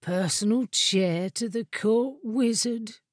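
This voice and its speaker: Woman voicing a mournful, slow-talking grand old armchair. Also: a little high, a little mellow, husky, no echo, clean studio sound, heavily acted. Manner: lugubrious, slow, drawn-out complaint. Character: mournful, self-important talking armchair, sleepy and aggrieved.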